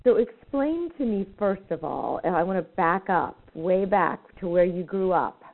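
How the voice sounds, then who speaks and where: A woman's voice: fairly low pitch, expressive, normal speed, creaky. A woman hosting a spiritual talk show, effusive and a little rambling as she interviews a guest. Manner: warm, effusive, slightly rambling. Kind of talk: radio interview. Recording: thin phone-like line.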